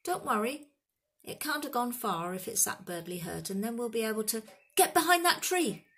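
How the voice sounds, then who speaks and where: Woman putting on a blunt rustic gamekeeper man, loud. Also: on the high side, expressive, fairly quick, pitched above the performer's own voice. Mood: urgent, protective. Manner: rustic dialect, blunt, colloquial. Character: gruff big kindly gamekeeper man, grumpy and protective. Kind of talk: storybook character voice.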